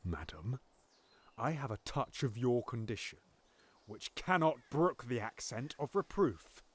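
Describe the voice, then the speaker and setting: A man's voice: mid-range voice, sing-song, a little slow, slightly rough. An actor playing a ruthless usurping king who commands and persuades coldly in verse. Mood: curt. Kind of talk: Shakespearean audio drama.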